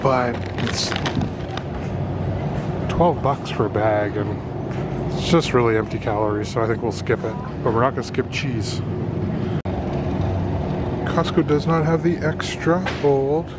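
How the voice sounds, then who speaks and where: A middle-aged man talks casually to a handheld camera in a big warehouse store. His voice sits at an ordinary pitch, with very loud ambient noise all around it. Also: unhurried, long pauses. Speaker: vlogger. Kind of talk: casual shopping vlog chat. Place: large indoor warehouse store. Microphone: handheld camera microphone.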